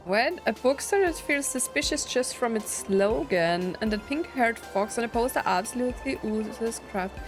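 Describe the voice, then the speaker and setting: A woman's voice: fairly high pitch, animated, normal speed, hardly any pauses. A woman streaming a video game with casual commentary, thinking aloud and reading on-screen text out. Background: video game music and dialogue.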